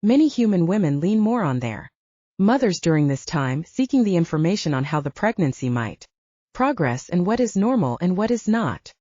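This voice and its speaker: A woman reads as a pregnant human heroine in an audiobook, earnest and careful. The voice is mellow with lively rises and falls, recorded dry. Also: on the low side, moderate pace, no room sound, muffled recording. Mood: careful, homesick.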